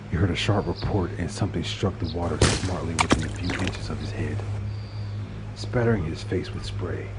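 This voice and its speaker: A man's voice: deep voice, background noise. A man reading a suspenseful story as narrator, in a dramatic scripted style.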